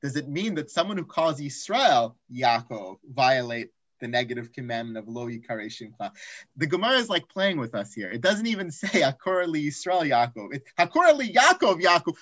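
A man teaching an online Talmud class, raising rhetorical questions and dropping into quiet asides. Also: on the high side, moderate pace, no echo. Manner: animated, asks rhetorical questions, drops to asides.

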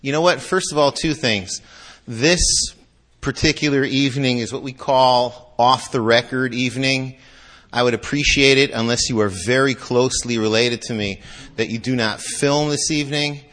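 A man's voice: medium pitch, fairly even intonation, normal speed, fairly bright tone. A man speaking as a guest lecturer to a live audience, chatty and joking, restless in his delivery.

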